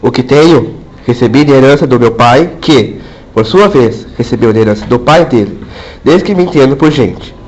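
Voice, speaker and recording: A man's voice: medium pitch, expressive, moderate pace, darkish tone, muffled recording, natural voice. A man voicing a domineering rural landowner colonel who speaks in commanding tones and makes cold veiled threats. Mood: proud. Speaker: colonel character.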